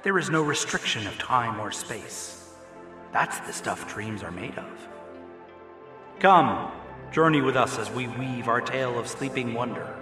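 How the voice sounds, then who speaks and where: A man playing the theatrical, deliberate storyteller of a mysterious dream tale in a radio drama. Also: mid-range voice, expressive, echoey room, music in the background, natural voice. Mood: theatrical, intriguing. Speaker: narrator. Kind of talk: scripted radio drama narration.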